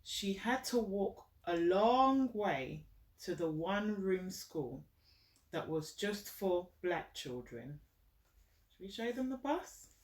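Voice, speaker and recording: Unhurried woman reading to a child, echoey. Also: mid-range voice, big pitch swings. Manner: encouraging, teacherly, checking the child understands. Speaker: mother reading a picture book with a child. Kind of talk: children's read-aloud with gentle prompting. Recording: roomy echo, distant mic, faint noise.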